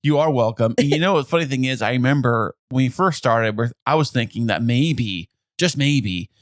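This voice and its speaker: A man's voice: mid-range voice, expressive, normal speed, no room sound, clean studio sound. A man co-hosting a casual podcast chat in an easygoing, joking manner. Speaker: podcast co-host. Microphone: studio mic.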